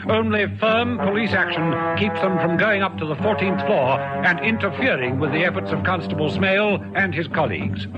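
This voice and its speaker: A man's voice: sits high, lightly acted. A man as a solemn narrator of a police radio drama, formal and dramatic. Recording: muffled old radio, loud music behind.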